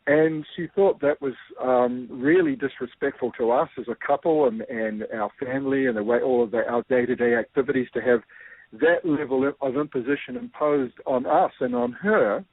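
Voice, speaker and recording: A man's voice: medium pitch, normal speed, breathy edge, no echo. Kiwi guy telling a story, lots of ums, sounds like a phone line, dry. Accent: New Zealand. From New Zealand. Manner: thoughtful, many fillers. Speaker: guest. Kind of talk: explaining with a personal story.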